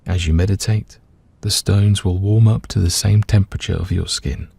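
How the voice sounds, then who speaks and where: A man gently guiding a meditation, giving soothing, unhurried instructions with pauses between phrases. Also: low-pitched, fairly even intonation, gravelly, breathy edge, no room sound. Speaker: meditation guide. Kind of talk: guided meditation narration.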